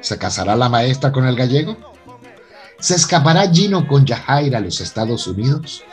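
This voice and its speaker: A man's voice: mid-range voice, very animated, normal speed, faint music behind. A man narrating a radio soap opera in a dramatic, scripted storytelling style.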